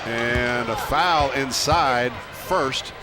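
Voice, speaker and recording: A man's voice: medium pitch, very slow, loud music behind the voice, a loud crowd. A man calling live basketball play-by-play from courtside, speaking in clipped, fragmentary calls. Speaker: play-by-play sports commentator. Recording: loud gym noise, bouncing basketball behind. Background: arena noise and ball bounces.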